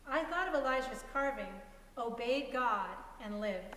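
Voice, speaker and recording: Higher-voiced woman reading in echoey hall. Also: lively intonation, measured pace, fairly bright tone. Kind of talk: dramatic story reading. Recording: echoey hall with faint hiss.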